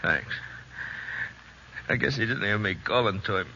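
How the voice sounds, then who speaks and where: An American man playing a wounded, anxious western townsman in a 1950s radio drama, speaking in a halting, strained, folksy way. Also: lowish voice, animated, sharp tone, full character voice. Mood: pained, weary. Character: wounded western lawman, anxious, plain-spoken friend. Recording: dull, hissy 1950s radio recording.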